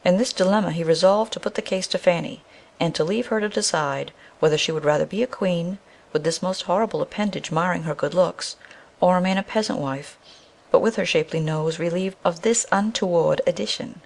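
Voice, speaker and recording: A woman's voice: on the low side, a little fast, vocal fry. A woman narrating a scripted fairy tale as a storyteller.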